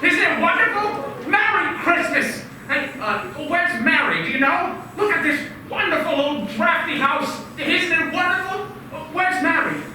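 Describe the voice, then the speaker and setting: A woman's voice: very high-pitched, animated, a little slow, few pauses, a little hiss or hum, heavily acted. A woman playing George Bailey, overjoyed. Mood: joyful. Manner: exuberant, exclamatory. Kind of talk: amateur stage radio play. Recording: distant, very echoey stage recording with loud music.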